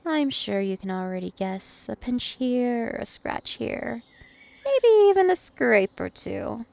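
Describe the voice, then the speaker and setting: A woman's voice: fairly high pitch, big pitch swings, slow, vocal fry, darkish tone, exaggerated voice. A woman voicing a dominant, taunting, ancient vampire princess in an ASMR roleplay, with haughty, teasing menace. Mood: sly menace. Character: two-thousand-year-old vampire princess, female, dominant, taunting, imperious.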